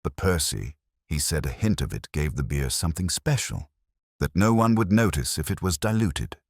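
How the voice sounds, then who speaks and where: A man voicing a soft, drained old widow. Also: extremely low pitch, lively intonation, moderate pace, no room sound, clean studio sound, full character voice. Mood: resigned. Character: older widow, quiet and worn down.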